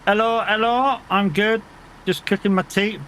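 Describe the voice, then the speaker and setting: A British man streaming a game from home, chatting loosely with his viewers in a bright, forceful voice. He's reassuring and easygoing, drops the odd swear word and laughs often. A faint engine hum sits behind him. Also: high-pitched, fairly even intonation, normal speed, low-quality recording. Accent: British English. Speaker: gaming streamer. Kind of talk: casual live-stream chat with viewers.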